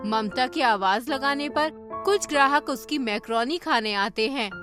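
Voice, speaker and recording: A woman's voice: sits high, measured pace, music in the background. A woman reading scripted story narration for a cartoon.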